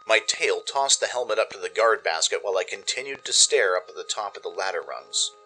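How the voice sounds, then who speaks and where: A man narrating a first-person dramatic story for a radio play, with measured, expressive delivery. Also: fairly low pitch, slight background noise, rough recording. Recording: music under the narration. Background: music.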